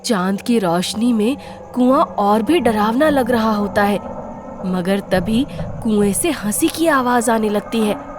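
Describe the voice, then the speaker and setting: A woman's voice: fairly high pitch, animated, takes it slowly, over music. A woman narrator reading a scripted story in a dramatic storytelling style.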